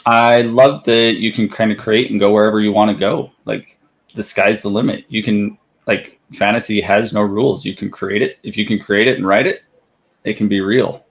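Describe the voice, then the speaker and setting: A man talking calmly as a guest in a casual interview, in a fairly flat way, with many "like"s. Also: lowish voice, normal speed. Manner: calm, says he has a monotone voice, lots of "like". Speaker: guest, book reviewer. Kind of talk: casual chat.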